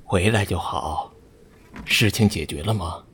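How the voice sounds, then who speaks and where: Man as a slow, deep aging patriarch. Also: a bit bright, slight background noise. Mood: weary, benevolent. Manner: sighing, grave, measured. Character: aging father, forgiving, grave family patriarch. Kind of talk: Chinese costume audio drama acting.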